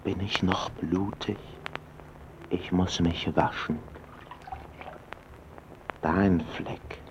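Casting: haunted man whispering in dread, deep and slow, 1930s radio play, lo-fi. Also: little pitch movement, background noise. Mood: fearful murmur. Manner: hushed, fearful, often whispering. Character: haunted man washing off blood at night. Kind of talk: 1930s radio drama acting. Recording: dull old radio broadcast recording.

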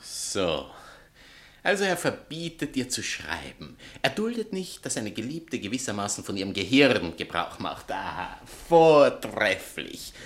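An actor playing a pompous, theatrical writer and former lover, declamatory and sarcastic in a stage satire. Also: male voice, lowish voice, very animated, measured pace. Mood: sarcastic, indignant. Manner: declamatory, sarcastic, self-important. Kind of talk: stage satire acting in literary German.

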